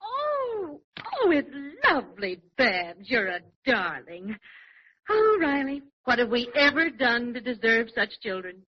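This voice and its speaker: An actress playing a sweet, gracious middle-aged housewife and mother in a 1940s radio sitcom, speaking gently and genteelly. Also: fairly high pitch, sing-song, a little slow, a little hiss or hum. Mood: touched. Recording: muffled vintage radio recording.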